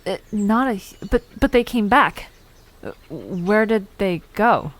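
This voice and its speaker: A woman's voice: medium pitch, animated, slow. A woman playing a nervous, curious young woman in an audio drama, flustered and stammering as she talks. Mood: curious, startled.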